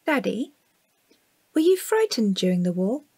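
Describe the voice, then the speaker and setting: A woman's voice: medium pitch, sing-song, measured pace, dark tone, breathy edge, no echo, heavily acted. A woman with a British accent playing a curious, eager young child in a radio play. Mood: inquisitive. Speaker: actor. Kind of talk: radio drama dialogue.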